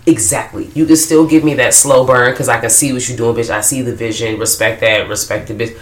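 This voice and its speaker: A woman's voice: very deep voice, brisk. Worn-out woman after work, swearing her way through a movie review, kinda echoey room. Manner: blunt, profane, animated. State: low tired after-work voice. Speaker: podcast co-host. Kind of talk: casual movie review chat. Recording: roomy echo.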